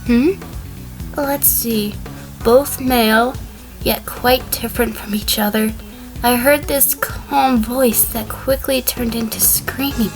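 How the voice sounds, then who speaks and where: A woman acting as a cagey female defendant on the witness stand in a courtroom drama. Her voice is on the high side, prickly and defiant yet prone to stammer, with music behind it. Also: fairly even intonation, slow. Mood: recounting, uneasy.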